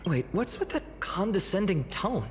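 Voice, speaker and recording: A woman plays an earnest, wondering young traveler in a fantasy game. The mid-range voice is very lively in pitch, in long hall reverb. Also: moderate pace, slight background noise, lightly acted. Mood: curious, wondering. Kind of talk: scripted game dialogue.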